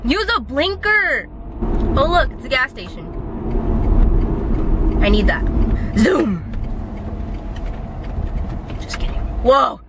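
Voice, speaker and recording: Animated young woman vlogging while driving. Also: sits high, slow pace, long pauses, background noise. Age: young adult. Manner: animated, talks to other drivers with mock road rage. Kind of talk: casual driving vlog. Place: inside a car. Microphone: dashboard camera mic.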